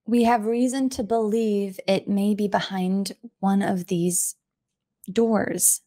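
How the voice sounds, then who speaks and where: A woman's voice: mid-range voice, fairly even intonation, warm tone. A woman playing her character in a tabletop game, hesitant and playful. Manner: slow, hesitant and playful, often laughing. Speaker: player.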